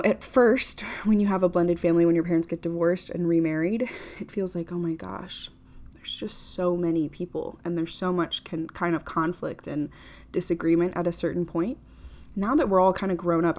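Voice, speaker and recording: A woman's voice: on the low side, fairly quick, darkish tone. A woman of twenty hosts her own podcast in a chatty, upbeat manner, full of fillers and self-deprecating asides. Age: 20s. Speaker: podcast host. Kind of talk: casual solo podcast monologue.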